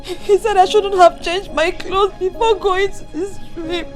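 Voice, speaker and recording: A wronged young Nigerian daughter in an emotional film drama, plaintive and crying. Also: female voice, extremely high pitch, steady tone, measured pace, a little mellow, soft background music. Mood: tearful, plaintive. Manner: plaintive, protesting innocence.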